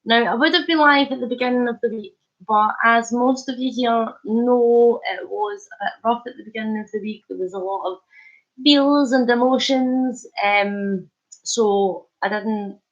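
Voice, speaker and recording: A Scottish woman talks casually on a live stream, her voice fairly high and a bit thick from a head cold. She chats warmly and greets viewers as she goes. The sound is dull and lo-fi, as from a phone. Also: measured pace. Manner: chatty, warm greetings, chuckles. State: head cold, sore throat. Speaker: vlogger hosting a live stream.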